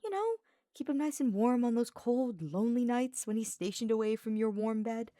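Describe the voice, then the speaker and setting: Woman voicing a breezy, cheeky young guy, raised pitch. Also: animated, moderate pace, mellow, no echo, clean studio sound, lightly acted. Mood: teasing, calm. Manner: casual, placating, teasing.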